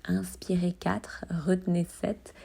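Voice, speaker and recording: Woman leading a guided meditation, soft n breathy, very slow, bit of creak in the voice. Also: fairly low pitch. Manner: calm, soothing, unhurried instructions with pauses. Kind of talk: guided meditation, body scan.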